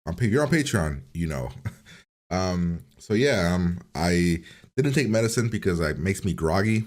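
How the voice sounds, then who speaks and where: A man with a cold and a slightly nasal, very deep voice, rambling casually as he hosts a video reaction. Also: animated, normal speed, darkish tone. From upstate New York. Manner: rambling, easygoing, with filler words. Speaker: reaction channel host.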